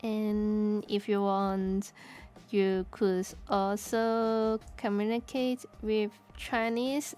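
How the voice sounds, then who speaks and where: A woman from Hong Kong with a Cantonese accent, chatting casually on stream, halting and restarting as she searches for words. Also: mid-range voice, little pitch movement, darkish tone. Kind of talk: casual chat while drawing.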